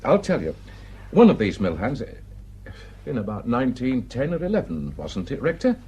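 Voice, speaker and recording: A man's voice: medium pitch, wildly swooping pitch, moderate pace, dark tone, slightly rough, exaggerated voice. An actor playing a gossipy, plain-spoken village man with a Northern English accent, telling indignant tales in an emphatic, colloquial way in a radio drama. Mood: wry indignation. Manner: garrulous, emphatic, colloquial storytelling.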